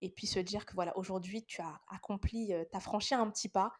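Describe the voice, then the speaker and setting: A young woman hosts a faith podcast while she has a head cold. She speaks straight to her listeners in an earnest, encouraging tone, and her voice sits at an ordinary middle pitch. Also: fairly even intonation, a little fast. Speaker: podcast host. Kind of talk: casual faith talk podcast.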